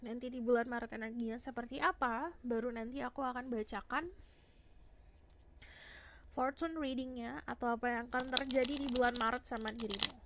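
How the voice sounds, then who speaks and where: A young woman with a hoarse voice, chatting casually as she gives a tarot reading to her viewers. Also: on the high side, fairly even intonation, normal speed, a little hiss or hum. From Indonesia. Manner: chatty, switches between Indonesian and English phrases. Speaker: tarot reader.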